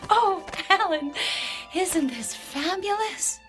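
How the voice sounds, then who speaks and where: An actress playing a cheerful, teasing, romantic wife with an American accent, expressive and coaxing in a radio drama. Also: female voice, extremely high pitch, takes it slowly, faint music behind, full character voice. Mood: delighted.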